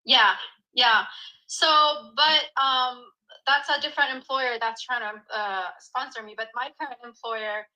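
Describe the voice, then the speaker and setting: A woman from Ethiopia asking for advice as a caller on a live stream, casual and halting in her phrasing. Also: on the high side, fairly even intonation, bright, forceful tone, poor audio. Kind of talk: casual, tentative question on a live call.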